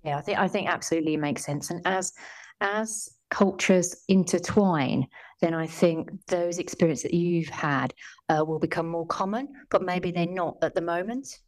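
Low-pitched woman podcast host. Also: moderate pace. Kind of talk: interview questions.

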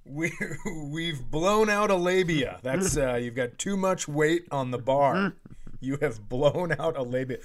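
A man's voice: on the high side, lively intonation, measured pace. A man who co-hosts a comedy podcast, telling stories and bantering casually in a crude, profane, joking way. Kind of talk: casual comic storytelling and banter.

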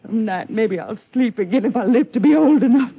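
An actress in a 1950s radio drama playing a distraught, guilt-ridden country wife, halting and rustic in speech. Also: female voice, fairly high pitch, lively intonation, gravelly, slightly rough, full character voice. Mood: weary, guilt-ridden. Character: distraught guilt-ridden country wife confessing against her murderous husband. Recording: dull, hissy, clicky old radio recording.

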